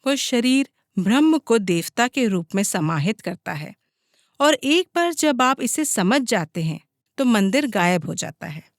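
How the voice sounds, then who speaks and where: A woman's voice: fairly high pitch, very animated, a little slow, dry recording, studio quality. A woman reading a scripted audiobook as its narrator.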